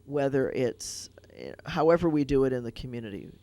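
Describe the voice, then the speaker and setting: A man with a gravelly, creaky voice of middle pitch hosts a radio talk show as a rabbi. He tells a children's fable with lively, animated delivery and chats casually with his guests. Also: a little fast. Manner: animated storyteller, retelling a fable. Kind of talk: casual radio conversation and storytelling.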